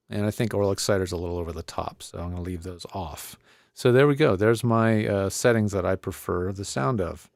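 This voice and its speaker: A man with a lowish voice walks through gear in a casual tutorial style. He talks conversationally, as if thinking aloud while he tests things. The sound is close and dry, on a studio podcast microphone. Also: a little mellow, no echo. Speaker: presenter of an audio gear tutorial. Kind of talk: casual hands-on product tutorial.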